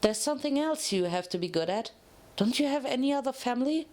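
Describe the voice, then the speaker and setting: Reluctant, curt female pony convict read by a woman, gruff rebel carrying grief, for a dramatic story. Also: high voice, animated, a little fast, darkish tone, pitched above the performer's own voice. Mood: guarded. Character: gruff, guarded, grieving mare prisoner, a rebel. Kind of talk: dramatic fan fiction reading.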